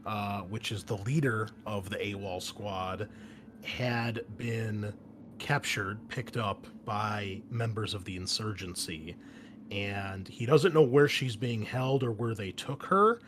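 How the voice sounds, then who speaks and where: A man's voice: on the low side, a little hiss or hum. A young man running a tabletop roleplaying game, recapping from his notes in an easygoing way with many fillers. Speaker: game master.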